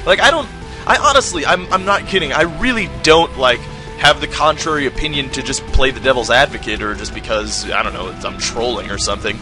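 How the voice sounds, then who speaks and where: A man's voice: medium pitch, a little fast, over music. A man from the United States rambling defensively while commentating a video game, with lots of filler words. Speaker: gaming commentator. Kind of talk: let's-play commentary.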